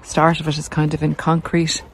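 An Irish woman speaks in a fairly low voice, explaining things in a measured, halting way. It is a casual walk-and-talk, recorded outdoors with some noise around her. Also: monotone. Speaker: podcast host and narcissism recovery coach. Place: outdoors on a coastal cliff walk. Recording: noisy outdoor handheld recording.

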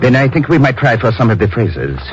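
An actor playing a careful, commanding doctor and hypnotist in a 1950s radio drama, deliberate and clinical. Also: male voice, mid-range voice, very animated, lightly acted. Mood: measured, clinical. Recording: muffled, noisy old radio recording.

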